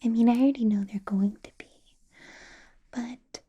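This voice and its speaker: A woman playing a possessive, doting vampire boss in an ASMR roleplay, whispering in a breathy, teasing, intimate way. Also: a little high, fairly even intonation, warm tone, lo-fi sound. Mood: doting concern. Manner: slow, teasing, intimate personal-attention delivery.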